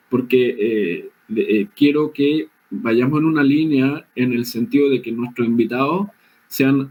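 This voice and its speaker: A man's voice: mid-range voice, darkish tone, poor audio. A man hosting a professional program, speaking hesitantly with many fillers. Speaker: host. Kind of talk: hosting a professional talk show.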